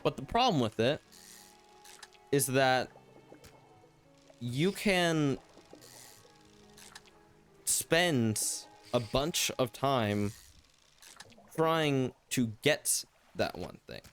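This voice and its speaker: A man's voice: medium pitch, expressive, extremely slow, pauses often, soft background music. A young man hosting a solo talk show, rambling loosely and reflectively, his voice slightly worn from hours of talking. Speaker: podcast host.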